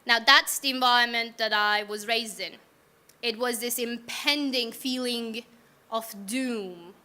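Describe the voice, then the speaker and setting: A woman's voice: a little high, measured pace, sharp tone. A young woman from Iran telling her personal story to a live audience in a frank, wry, casual way, asking the room questions.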